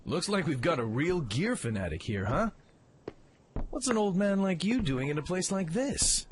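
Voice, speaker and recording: A man's voice: on the high side, big pitch swings, moderate pace, a little hiss or hum. A man playing a cocky, self-reliant young mech pilot in a radio play, with a brash, swaggering delivery. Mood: curious, eager.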